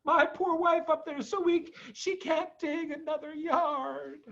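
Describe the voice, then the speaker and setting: A man voicing an excitable, anxious badger in a children's storybook, exclaiming in a worried, dramatic way. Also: extremely high pitch, unhurried, creaky, muffled recording, pitched above the performer's own voice, exaggerated voice. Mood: despairing. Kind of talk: storybook character voice.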